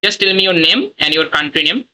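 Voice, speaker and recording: A man's voice: sits high, lively intonation, a little fast, sharp tone, low-quality recording. A man leading a live online training session over a video call, speaking plain non-native English with many "okay"s and fillers. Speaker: online course instructor.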